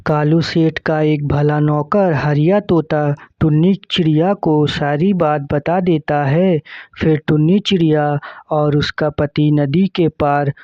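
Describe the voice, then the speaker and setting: A man's voice: on the high side, fairly even intonation, slow, no echo. A man narrating a scripted children's cartoon story as storyteller. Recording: dull sound with faint noise.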